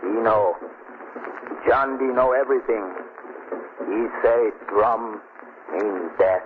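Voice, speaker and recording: Superstitious native island pilot, low and very slow, terse broken English, ominous, tinny vintage radio recording. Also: male voice, background noise. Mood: ominous, evasive. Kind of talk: 1940s radio acting.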